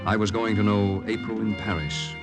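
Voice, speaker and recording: An actor playing a calm, resolute American secret agent lieutenant, telling his wartime story in a measured, earnest 1940s radio drama style. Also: lowish voice, natural voice. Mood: grave, reflective. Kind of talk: 1940s radio acting, first-person dramatic narration. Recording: 1940s radio recording, music underneath. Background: dramatic music.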